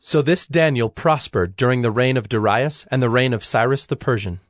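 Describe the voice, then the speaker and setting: A man's voice: mid-range voice, normal speed. A man narrating a dramatized scripture story in a scripted style. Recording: loud music and roaring lion effects behind.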